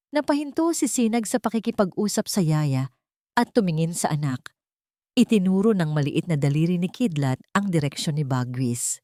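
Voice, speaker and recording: A woman narrating a romantic audio drama in a dramatic, expressive storytelling manner. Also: fairly low pitch, dry recording, studio quality.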